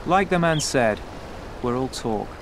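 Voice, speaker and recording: An actor playing a cynical, world-weary pirate in a video game, speaking in a wry, measured way. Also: male voice, medium pitch, expressive, background noise. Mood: wry, guarded.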